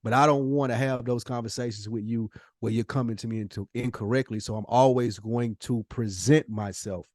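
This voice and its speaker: A man's voice: mid-range voice, steady tone, a little fast, hardly any pauses, a little mellow, no room sound, clean studio sound. A man co-hosting a casual podcast conversation, talking in an emphatic, probing way with plenty of slang. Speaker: podcast co-host.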